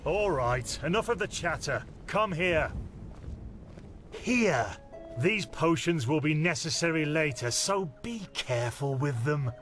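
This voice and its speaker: A man acting a stern, weary veteran warrior mentor in a fantasy video game, gruff and measured. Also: on the high side, expressive, a bit bright. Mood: commanding. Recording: game music behind the voice.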